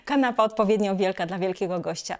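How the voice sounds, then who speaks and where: A woman in her forties presents a cultural interview in a studio. Her voice sits at a middle pitch, and she addresses her guest as host. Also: fast talker. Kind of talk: studio interview with scripted greeting. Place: furnished indoor TV studio.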